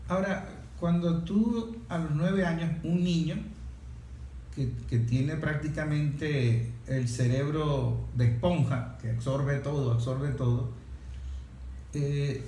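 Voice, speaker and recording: A man's voice: on the high side, steady tone, slow pace, mellow. A man interviewing someone in an informal, conversational way, repeating phrases to press his questions. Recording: echoey, distant mic, faint noise.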